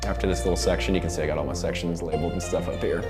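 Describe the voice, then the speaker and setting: A young American man hosts an informal music production lesson in a fairly low voice. He talks in a loose, rambling way, doubling back on his phrases as he explains things to viewers. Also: fairly even intonation, racing. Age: young adult. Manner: rambling, repeats and restarts phrases. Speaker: instructor, seminar host. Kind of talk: casual live tutorial on music production. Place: recording studio control room, seated at mixing console.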